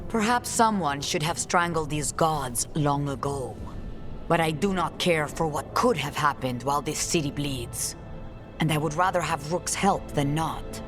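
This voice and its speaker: An actress playing a stern, pragmatic assassin leader, cool, formal and authoritative, in a dramatic video game. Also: female voice, deep voice, measured pace, music in the background. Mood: cool authority.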